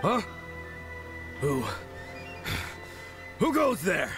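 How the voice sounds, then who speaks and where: An actor voicing a foolish, melodramatic young man in a video game, halting and overwrought. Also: mid-range voice, big pitch swings, music in the background, full character voice. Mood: pleading.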